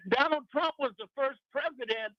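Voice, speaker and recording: High-voiced male caller on a dull phone line. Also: no echo. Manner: pressing, halting, stumbles over words.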